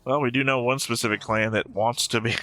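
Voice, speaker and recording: A man's voice: mid-range voice, steady tone, normal speed, bright, forceful tone, soft background music. A man co-hosting a reaction show with casual, blunt, opinionated commentary and free swearing.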